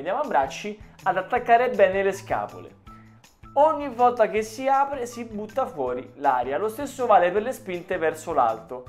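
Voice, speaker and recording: A man in his thirties from Italy giving informal, encouraging exercise instruction as a personal trainer. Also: sits high. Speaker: personal trainer and fitness channel host. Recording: music behind the voice. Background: background music.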